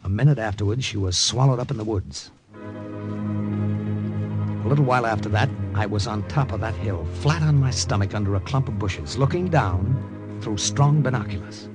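A man's voice: on the low side, lively intonation, moderate pace, music in the background, natural voice. A man playing a tense wartime spy narrator. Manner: tense first-person storytelling. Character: American spy posing as a Frenchman, narrating. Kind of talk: 1940s radio acting.

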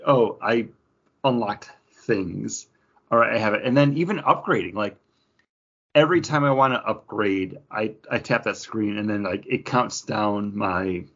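A man co-hosting a podcast in casual chat, rambling and animated, acting out tapping through game menus. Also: fairly low pitch, muffled recording. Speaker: podcast co-host.

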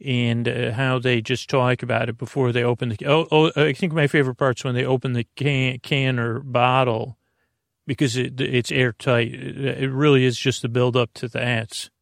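A male podcast host with a gravelly, creaky voice in a mid-range pitch. He improvises a wandering monologue, halting and restarting as he drifts off on tangents. The sound is very dry, with no room echo. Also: steady tone. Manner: meandering, halting, self-interrupting.

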